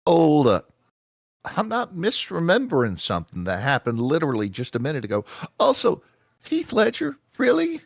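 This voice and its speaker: A man's voice: a little high, wildly swooping pitch, moderate pace, gravelly, no echo, clean studio sound, full character voice. A man playing a sceptical, exasperated tourist who objects incredulously, in a comedy table read. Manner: interrupting, incredulous. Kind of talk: live table read of a comedy radio show.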